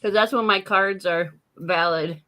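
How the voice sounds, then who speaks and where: A woman hosting a casual live morning show, playful and teasing, with quick comebacks. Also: mid-range voice, bright, forceful tone. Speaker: host.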